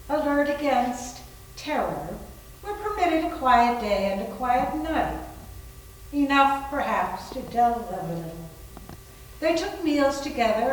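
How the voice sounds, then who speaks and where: A woman narrates a scripted story at an unhurried pace. Her voice is on the high side, heard from a distance in a very echoey room. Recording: very echoey room, distant mic, faint noise.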